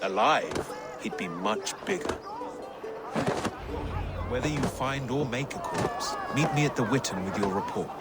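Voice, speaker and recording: A man's voice: mid-range voice, animated, a little slow, long pauses, loud music behind the voice. An actor playing a genial, wry Anglo-Saxon lawman in a video game, with playful, formal phrasing. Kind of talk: video game dramatic dialogue.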